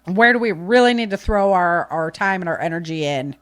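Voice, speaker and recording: A woman hosting a podcast, speaking informally into a close microphone with animated emphasis. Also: fairly low pitch, brisk, no echo. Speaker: podcast host. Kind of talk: casual podcast talk.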